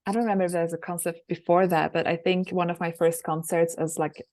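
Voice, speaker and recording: A young woman singer with a low alto voice, chatting casually over a video call about her music with many fillers. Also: little pitch movement, fast talker, a little mellow. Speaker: singer and songwriter, interview guest. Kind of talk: casual interview answers.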